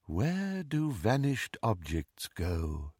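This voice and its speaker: A man voicing a genteel enchanted eagle door knocker with a soft, musical manner, in an audiobook. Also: lowish voice, wildly swooping pitch, husky, dry recording, clean studio sound, exaggerated voice. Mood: serene. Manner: soft, musical, slow riddling.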